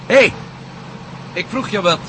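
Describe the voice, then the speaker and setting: A man's voice: sits high, a little slow. A man playing a cheeky, defiant captive in a radio play, restless and insistently needling with questions. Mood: impatient, needling. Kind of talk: Dutch radio play acting. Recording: loud car noise behind.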